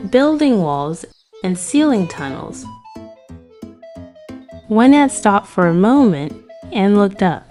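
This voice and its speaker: A woman's voice: mid-range voice, a little mellow. A woman narrating a scripted fairy tale for children as a storyteller.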